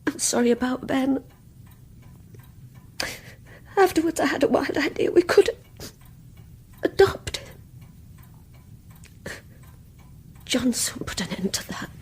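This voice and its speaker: An actress playing a guilt-ridden, envious woman confessing in an intense radio play performance, with a breathy voice. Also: high-pitched, lively intonation, moderate pace, full character voice. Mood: confiding, pleading. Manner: intense, wavering between hushed confiding and anguished outburst. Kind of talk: radio play acting, dramatic confessional monologue.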